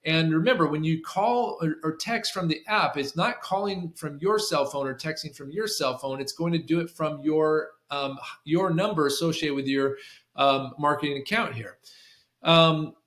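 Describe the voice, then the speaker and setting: A man with a slightly high voice walks listeners through a procedure like a trainer. He restarts and repeats phrases, checking in as he goes. The room adds a strong echo to his voice. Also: moderate pace, a bit bright. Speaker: sales call trainer for a clinic. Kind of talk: instructional screen-share walkthrough with demonstrated scripts. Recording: roomy, echoing room sound.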